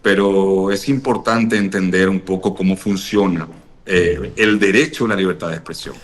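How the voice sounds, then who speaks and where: A man from Paraguay, a podcaster guest speaking over a call, measured and thoughtful, repeating words as he searches. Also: sits low, fairly even intonation. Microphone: video or phone call.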